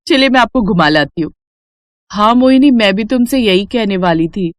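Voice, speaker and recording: A woman's voice: mid-range voice, lively intonation, moderate pace, no echo, studio quality, lightly acted. A woman voicing simple, friendly and spirited young village sisters-in-law in a cartoon story. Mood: friendly, wistful. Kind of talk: Hindi moral cartoon story acting.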